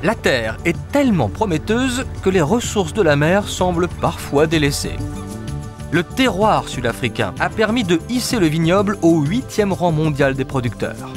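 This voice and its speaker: A man narrates a travel documentary from a studio voice-over booth. His voice sits somewhat high for a man and moves through a lively, wide melodic range. Music plays clearly underneath him.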